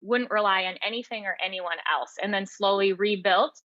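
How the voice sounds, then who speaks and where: A woman's voice: mid-range voice, steady tone, normal speed, dry recording, dull audio. A woman speaking as an interview guest, reflecting on her own experience in an earnest, explanatory way. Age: 30s or older. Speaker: podcast guest, author and teacher. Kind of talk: reflective personal interview talk.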